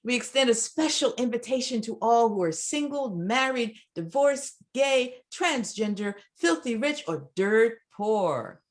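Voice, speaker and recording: A woman welcoming a congregation to a church service, speaking in deliberate, separated phrases. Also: on the high side, expressive. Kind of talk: warm spoken congregational welcome.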